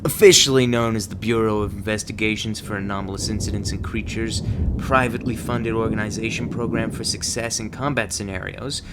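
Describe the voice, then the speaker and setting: A man playing a jaded veteran monster-hunting agent in an ASMR roleplay, curt, deadpan and contemptuous. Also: fairly low pitch, brisk, very noisy, close to an everyday voice. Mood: sardonic, curt. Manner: deadpan, curt, sarcastic, insulting.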